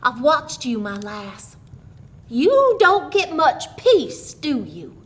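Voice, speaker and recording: Woman acting a sly old countrywoman, high-pitched. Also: very animated, takes it slowly, full character voice. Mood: coaxing, conspiratorial. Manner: coaxing, conspiratorial, folksy. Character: sly old countrywoman with secret, knowing humour.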